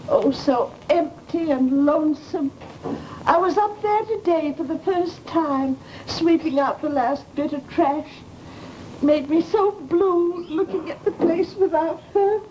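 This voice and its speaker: Mournful, fluttery landlady: high voice, unhurried, folksy and sighing, on a hissy old radio recording. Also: a little mellow, muffled recording. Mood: mournful, sentimental. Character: fussy, sentimental landlady, mournful and easily flustered. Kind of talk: 1940s radio drama acting.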